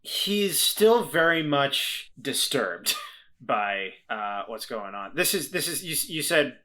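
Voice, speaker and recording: A man playing a tabletop roleplay game, chatting casually and haltingly, often repeating his words as he thinks. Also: mid-range voice, very animated, sharp tone. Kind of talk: casual actual-play chat.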